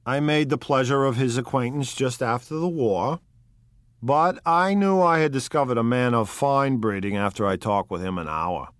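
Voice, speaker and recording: A man's voice: mid-range voice, no echo, pitched above the performer's own voice, lightly acted. A man putting on a brooding old gangster. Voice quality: broken, ungrammatical speech. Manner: brooding, slow, nostalgic. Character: older male gangster, gloomy and sentimental.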